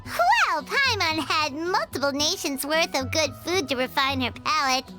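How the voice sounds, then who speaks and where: A little fairy companion from a video game. The voice is piercingly high, squeaky and childlike, leaping around in pitch as she exclaims like an excitable glutton, with music playing behind her. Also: unhurried. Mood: chatty, boastful. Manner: refers to herself in third person, exclaiming.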